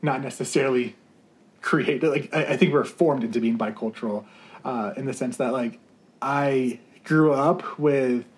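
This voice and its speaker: A young man with an ordinary middle-pitched voice talks thoughtfully about his life. He circles back and restarts often, choosing his words with care. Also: unhurried. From United States. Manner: earnest, searching, many fillers and restarts. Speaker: campus ministry leader. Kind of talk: reflective interview answers.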